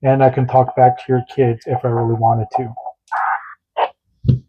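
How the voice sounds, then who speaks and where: A man's voice: medium pitch, level tone, slow, slightly rough, dry recording, highs cut off. A man hosting a casual radio hobby tutorial, chatty and repetitive, doing radio checks as he demonstrates. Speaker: radio hobby channel host.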